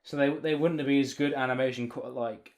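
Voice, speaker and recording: A man's voice: medium pitch, fairly even intonation, a little fast, vocal fry, a little hiss or hum. A young British man chatting casually as a podcast co-host, with sweary, joking banter. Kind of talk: casual chat.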